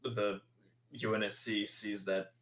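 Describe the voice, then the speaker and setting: Lowish-voiced man in an echoey room. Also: little pitch movement, slow, fairly bright tone, slight background noise. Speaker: tabletop game player. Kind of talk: casual game chat. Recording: roomy echo.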